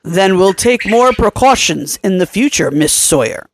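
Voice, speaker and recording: A woman voicing a formally polite male villain boss with a measured, quietly menacing manner in a story reading. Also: medium pitch, expressive, slight background noise, close to an everyday voice. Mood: smooth, dismissive.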